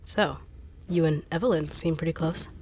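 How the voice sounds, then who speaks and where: A woman voicing a fast-talking, no-nonsense, teasing bodyguard in an audio roleplay. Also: deep voice, a little mellow, a little hiss or hum, close to an everyday voice. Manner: dry, teasing, blunt. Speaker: voice actor.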